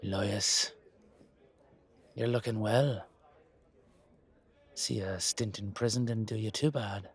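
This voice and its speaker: A man's voice: lowish voice, fairly even intonation, slow pace, long pauses, husky, exaggerated voice. A man voicing a cheeky, centuries-old leprechaun with an Irish accent in a roleplay audio drama, blunt, roguish and teasing.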